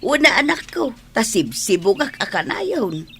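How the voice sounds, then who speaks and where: Lively, chiding elderly mother. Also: fairly high pitch, unhurried, creaky, a little hiss or hum, exaggerated voice. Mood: fond, chiding. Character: elderly mother, fond and matchmaking. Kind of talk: Ilocano radio drama acting.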